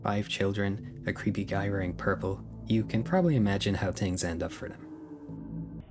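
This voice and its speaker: A man's voice: on the low side, moderate pace. Casual, opinionated man narrating. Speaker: video essayist. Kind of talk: video essay narration. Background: music.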